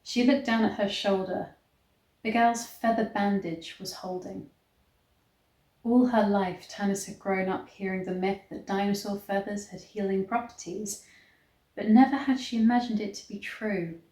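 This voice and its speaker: A woman's voice: mid-range voice, steady tone, normal speed, a little mellow. A woman reading a novel aloud in a mock-dramatic way, breaking off for blunt, incredulous commentary. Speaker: online reader and commentator. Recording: roomy, echoey sound.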